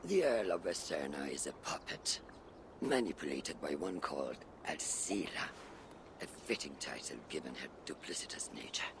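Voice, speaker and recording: Very deep, gravelly conspirator. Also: male voice, noisy background. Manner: formal, deliberate. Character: shrewd, secretive warrior ally offering a pact. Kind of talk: dramatic video game acting.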